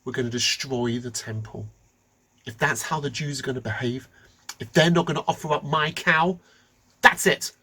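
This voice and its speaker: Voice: mid-range voice, normal speed, bright, forceful tone, slightly rough, poor audio. A storyteller voicing a furious male emperor deciding on revenge. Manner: angry, decisive.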